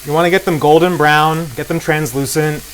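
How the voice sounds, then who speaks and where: A man's voice: fairly high pitch, fairly even intonation, brisk, fairly bright tone, poor audio. Enthusiastic man presenting over a sizzling pan. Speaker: guest cook and beer brand co-founder. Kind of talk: casual cooking demonstration talk. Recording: clear noise of frying and sizzling. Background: sizzling pan in a cooking demo.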